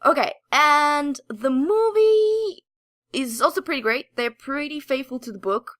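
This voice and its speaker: A woman co-hosting a casual geeky podcast, telling stories in an excitable, giggly, gushing way. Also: high-pitched, a little slow, no echo, studio quality. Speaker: podcast co-host. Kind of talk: casual geeky chat, animated storytelling.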